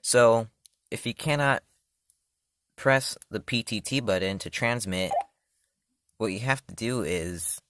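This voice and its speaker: A man's voice: lowish voice, takes it slowly, pauses often, background noise. A man casually demoing a product, talking loosely with many fillers and self-corrections. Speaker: product reviewer. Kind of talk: casual hands-on demo.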